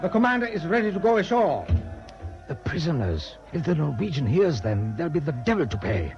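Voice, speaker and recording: An actor playing a stern, impatient German ship's captain in a radio drama, formal and commanding, giving clipped orders. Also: male voice, on the high side, big pitch swings, a little fast, a little mellow, soft background music. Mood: commanding, brisk. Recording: dull lo-fi radio recording, faint noise.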